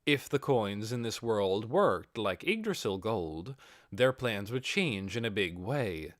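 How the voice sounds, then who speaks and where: A man reading a fantasy novel aloud as expressive scripted audiobook narration. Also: lowish voice, takes it slowly, no room sound, clean studio sound. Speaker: audiobook reader.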